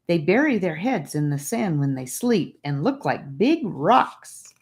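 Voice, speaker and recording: A woman voicing a boastful, teasing young boy in an audiobook, speaking in an animated way. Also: fairly low pitch, a little slow. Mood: excited. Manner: animated, bragging. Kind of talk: audiobook character voice.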